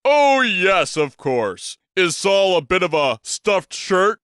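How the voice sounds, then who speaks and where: A man voicing an older eccentric writer who speaks grandly and flatteringly. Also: high-pitched, takes it slowly, no room sound, clean studio sound, voice pushed up, full character voice.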